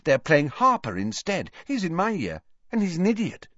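Storybook role: cheerful, blunt teen girl performed by a man, raised pitch, brisk manner, slightly dull recording. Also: wildly swooping pitch, fairly bright tone, no echo, heavily acted. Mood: bright, gossipy. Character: lively teenage schoolgirl, bright, sporty and blunt.

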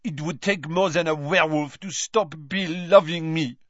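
A man's voice: medium pitch, expressive, moderate pace, breathy edge, dry recording, dull audio. A man voicing a proud, fierce young bride who speaks in a haughty, formal way. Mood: indignant. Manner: haughty, forceful, formal phrasing.

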